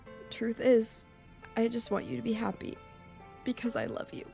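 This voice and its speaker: A woman's voice: a little high, steady tone, moderate pace, gravelly, warm tone, soft background music. A woman voicing a devoted, supportive teenage boy in love, speaking sincerely and insistently in a visual novel. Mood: tender, sincere.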